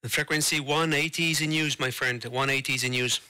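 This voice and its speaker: A man's voice: medium pitch, fairly even intonation, fairly quick, bright, forceful tone. A man making short, clipped interjections as an amateur radio operator over a ham radio transmission. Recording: shortwave radio with faint noise.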